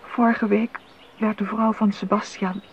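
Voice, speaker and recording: An actress playing a sorrowful noblewoman in a Dutch radio drama, speaking gravely and haltingly on a muffled old recording. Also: mid-range voice, flat delivery, natural voice. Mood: distressed, grieving. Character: sorrowful noble mama bearing grave news. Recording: muffled 1980s radio recording, faint noise.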